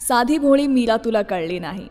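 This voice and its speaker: A woman's voice: a little high, expressive, normal speed, darkish tone. A woman presenting a scripted radio show about classic songs, addressing listeners directly. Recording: long reverb, music behind the voice. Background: music.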